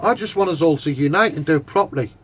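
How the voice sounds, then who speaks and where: This is a man with a strong-minded, unscripted way of speaking in a Scouse accent. His voice sits high for a man and has a husky rasp. He rambles emphatically through his argument, repeating phrases to drive them home. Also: fast talker. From Liverpool, England. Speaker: online broadcaster and activist. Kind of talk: off-the-cuff opinionated monologue.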